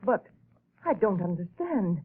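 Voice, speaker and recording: An actress playing a frightened, fragile wife in a 1930s radio drama, tremulous and pleading, on a tinny old broadcast recording. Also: female voice, mid-range voice, very animated, measured pace, breathy edge.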